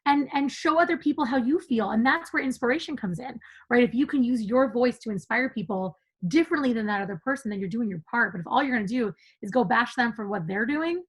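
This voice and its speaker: A woman's voice: fairly high pitch, expressive, fast, hardly any pauses, slightly rough, poor audio. A woman guest on a podcast, speaking with passion and emphasis in a conversational interview, laughing now and then.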